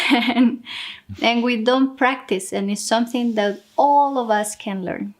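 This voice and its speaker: Unhurried young Chilean-accented woman, higher-pitched. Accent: Chilean Spanish. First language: Spanish. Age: young adult. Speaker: co-host, money management coach. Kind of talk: casual explanatory talk.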